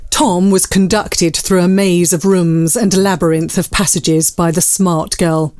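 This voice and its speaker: A woman's voice: fairly low pitch, fairly even intonation, a little slow, darkish tone, no room sound, clean studio sound, close to an everyday voice. A woman playing the storyteller, narrating a scripted, whimsical period tale for an audio drama. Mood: measured, wry.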